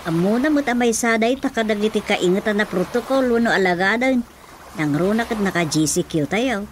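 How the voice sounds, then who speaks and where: A woman's voice: mid-range voice, animated, brisk, natural voice. A woman with an Ilocano accent playing a gossipy, complaining mother in a radio drama. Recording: radio drama with faint background noise.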